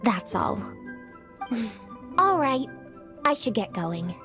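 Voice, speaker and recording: A woman's voice: fairly high pitch, sing-song, very slow, a bit bright, faint music behind, full character voice. A woman playing a poised, diligent young female official in a video game, speaking politely and articulately. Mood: courteous, composed.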